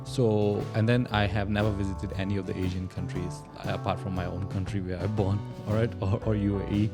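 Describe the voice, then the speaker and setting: A man's voice: fairly low pitch, little pitch movement, brisk, loud music behind the voice. A man from Pakistan hosting a casual podcast chat, joking and laughing often as he talks in loose, run-on sentences. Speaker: podcast host.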